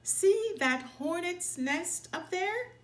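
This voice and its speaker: A woman's voice: extremely high pitch, expressive, slow pace, reverberant, voice pushed up, full character voice. A woman voicing a big young male bear, a bossy, taunting gang leader in a children's storybook. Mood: gleeful.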